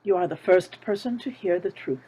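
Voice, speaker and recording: A woman's voice: on the low side, lively intonation, fairly quick, mellow, slightly rough, poor audio. A woman playing a weary, knowing old woman with a secret, in a screenplay table read. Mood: weary, pointed.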